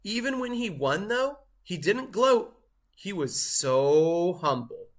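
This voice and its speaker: A man voicing a boastful, show-off cheese in a theatrical, grandiose storybook read-aloud with drawn-out emphasis. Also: sits high, very animated, full character voice. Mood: astonished, indignant.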